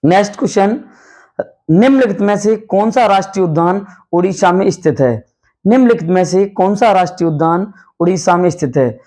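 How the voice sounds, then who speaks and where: A man with a hoarse voice, a coaching teacher running a quick quiz-style revision lesson, calling his students "beta" and repeating each question and answer. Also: high voice, lively intonation, warm tone. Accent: Hindi. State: hoarse from night classes.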